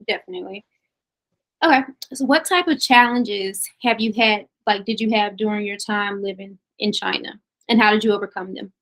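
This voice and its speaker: A young woman from the United States hosting a casual video-call interview, asking relaxed questions and giving easy affirmations. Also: mid-range voice, normal speed, low-quality recording. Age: young adult. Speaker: interview host.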